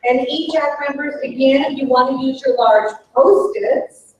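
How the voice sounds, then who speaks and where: A woman's voice: high-pitched, fairly even intonation, unhurried, background noise. A woman facilitating a public workshop meeting, giving directions to the participants. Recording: dull, very echoey room, distant mic.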